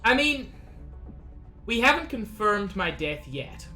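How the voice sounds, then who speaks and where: A slightly sick woman streams a game, chatting anxiously with her viewers in loose, casual commentary. Her voice is bright, and her pitch sits in an ordinary middle range. Faint game music plays behind her. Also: very animated, slow pace. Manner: chatty, anxious, talks to chat.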